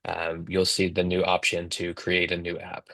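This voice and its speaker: A man's voice: low-pitched, completely flat delivery, moderate pace, gravelly, sharp tone, no echo. A man in his thirties co-hosting a casual instructional chat on a video call. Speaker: co-host, app company team member. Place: indoors on a video call, virtual background.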